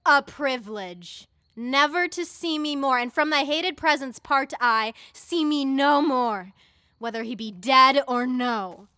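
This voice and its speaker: A woman's voice: high-pitched, a little slow, bright, forceful tone, no room sound, clean studio sound. A woman playing a fierce, heartbroken young lover in a Shakespeare audio drama, impassioned and accusing in verse. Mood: bitter.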